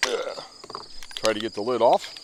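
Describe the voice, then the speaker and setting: A man's voice: fairly low pitch, big pitch swings, unhurried, noisy background. A man in his fifties giving a rambling, self-deprecating running commentary as he spray paints. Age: 50s. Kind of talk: running commentary while working.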